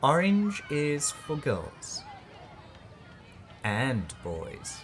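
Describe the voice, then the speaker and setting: A storyteller reading a picture book aloud to young children with playful, deliberate, drawn-out phrasing. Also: medium pitch, huge pitch swings, a faint crowd, slight background noise. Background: music and sound effects.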